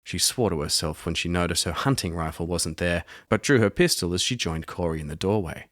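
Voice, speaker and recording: A man's voice: low voice, a little fast, a bit bright. A man hosting a solo role-playing audio drama, moving between scripted story narration and casual play-by-play of the game. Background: music.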